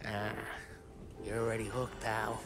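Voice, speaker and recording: An actor playing a restless American mobster near forty, profane and world-weary, in a cinematic crime game. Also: male voice, fairly low pitch, flat delivery, unhurried, loud music behind the voice, lightly acted, poor audio.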